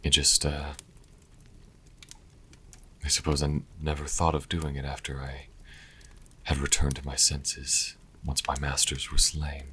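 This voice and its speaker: Man acting as a vampire healer, extremely low voice, slow delivery, haughty and wry, grudgingly kind, audio roleplay. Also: completely flat delivery, sharp tone, a little hiss or hum, heavily acted. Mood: reflective, wry. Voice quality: very deep, husky, breathy at times. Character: sassy male vampire healer, proud, wry, grudgingly charitable. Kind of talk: audio roleplay, intimate in-character monologue to listener.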